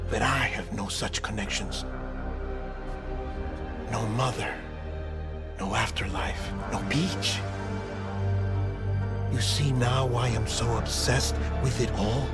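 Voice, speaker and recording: An actor playing a melancholy, earnest lab-grown man in a cinematic video game, speaking with deliberate, confessional phrasing. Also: mid-range voice. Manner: deliberate, storytelling delivery. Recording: background music under dialogue.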